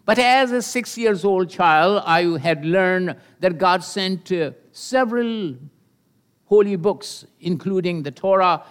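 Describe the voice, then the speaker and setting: Older man preaching slowly. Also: high voice, expressive, clear, resonant tone. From Pakistan. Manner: earnest and measured, with pauses and hesitations. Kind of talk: sermon with personal testimony.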